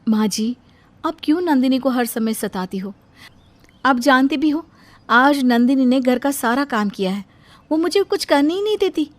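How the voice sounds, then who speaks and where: A woman playing a gentle, earnest and polite young wife in a cartoon story. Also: sits high, expressive, normal speed, no room sound, soft background music, voice pushed up. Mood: pleading. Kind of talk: Hindi cartoon story voice acting.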